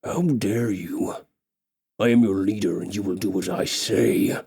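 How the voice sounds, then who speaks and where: Man doing a hoarse, menacing orc king. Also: low-pitched, a little mellow, exaggerated voice. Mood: angry. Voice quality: raw, hoarse, slow and menacing. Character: huge brutish male orc king, stern.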